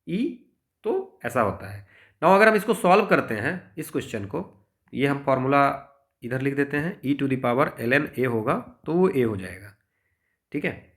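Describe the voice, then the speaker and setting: A man's voice: medium pitch, very animated, normal speed, a bit bright. A man with an Indian accent teaching informally as a maths tutor, explaining problems step by step and often checking in with the listener. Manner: explanatory, with frequent check-in tags. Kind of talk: informal exam-prep lesson, working through problems step by step.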